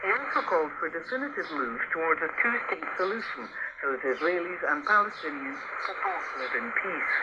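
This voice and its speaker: A man reading a scripted world news bulletin in a measured, even broadcast delivery. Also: on the high side. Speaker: newsreader. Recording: noisy lo-fi shortwave radio reception.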